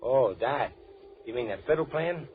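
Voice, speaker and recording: A man's voice: mid-range voice, expressive, unhurried. An actor playing a gloomy, stubborn local man in an old-time radio drama, blunt and dismissive in a colloquial way. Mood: impatient. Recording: muffled old radio recording, faint noise.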